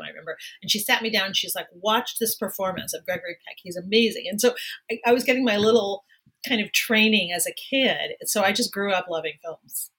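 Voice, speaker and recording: Bright-voiced middle-aged woman, chatty film critic. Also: mid-range voice, husky, rough recording. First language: Spanish. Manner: chatty, reflective, laughs often. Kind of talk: casual interview chat.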